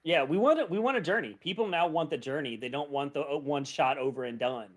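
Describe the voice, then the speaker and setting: A man's voice: on the high side, fast. A man chatting casually as a panelist on a podcast. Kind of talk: casual chat.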